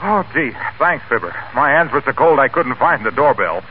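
An actor playing a hearty, genial family friend in a 1940s radio comedy. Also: male voice, medium pitch, expressive, moderate pace, natural voice. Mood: hearty, cheerful. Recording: noisy, tinny old radio recording.

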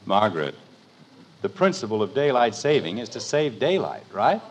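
A man's voice: lowish voice, sing-song, moderate pace. An actor playing an exasperated, stubborn middle-aged father in an old radio sitcom, grumbling and arguing emphatically. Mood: insistent, blustering. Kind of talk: 1940s-50s radio sitcom acting. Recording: noisy old radio recording.

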